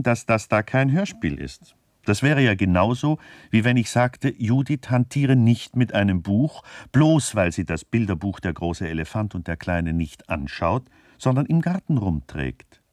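Very low, mellow male narrator. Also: animated, normal speed, no room sound, clean studio sound. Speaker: radio play narrator. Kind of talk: literary scripted narration.

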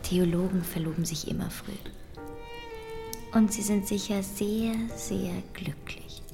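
A woman in a German radio play playing a cool, genteel baroness who teases in a soft, insinuating voice. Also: medium pitch, steady tone, a little slow, creaky, music in the background. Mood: soft teasing. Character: cool, teasing aristocratic baroness, polite hostess.

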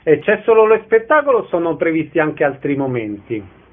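A man's voice: a little high, very animated, a little fast. A man working as an interviewer, putting casual questions in a radio conversation. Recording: narrow band, phone-like radio sound.

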